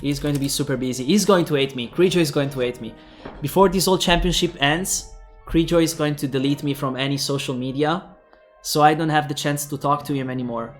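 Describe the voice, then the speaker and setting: A man's voice: on the high side, a little fast, faint music behind. Italian-accented male esports caster. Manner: rambling, with frequent fillers and restarts. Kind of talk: esports commentary and sign-off.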